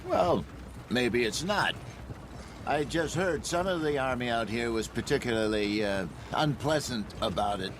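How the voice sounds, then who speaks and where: A man's voice: mid-range voice, expressive, unhurried, background noise, natural voice. An actor playing an older, talkative outlaw con man in a western game, with a wry, folksy, fancy-worded way of speaking. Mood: wry, reflective. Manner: wordy, folksy, wry storytelling. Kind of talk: naturalistic western game acting.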